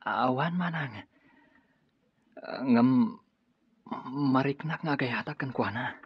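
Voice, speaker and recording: An earnest young male rebel with a husky, middle-pitched voice. He speaks in radio drama style, on a dull, band-limited recording. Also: slow pace, no echo. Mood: nervous, hesitant. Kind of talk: Ilocano radio drama acting.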